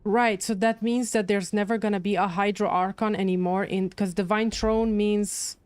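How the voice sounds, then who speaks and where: A woman's voice: mid-range voice, steady tone, normal speed, a little mellow, clear, resonant tone, no echo. A woman livestreaming a game, giving casual, chatty commentary as she thinks aloud. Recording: game music behind.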